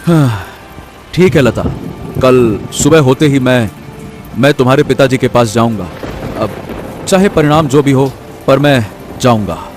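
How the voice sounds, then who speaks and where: A man's voice: mid-range voice, unhurried, pauses often, over music, close to an everyday voice. A man voicing a poor, earnest and anxious young woodcutter in a cartoon story, speaking hesitantly. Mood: hesitant, resolved. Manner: hesitant, stammering, pleading. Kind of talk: animated story voice acting.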